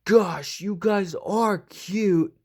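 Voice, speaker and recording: A man voicing a big, gruff, blustering tough dog with a soft heart, in a storybook reading. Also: sits high, drawn-out words, voice pushed up, heavily acted. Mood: flustered, blustering. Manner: growly, blustering.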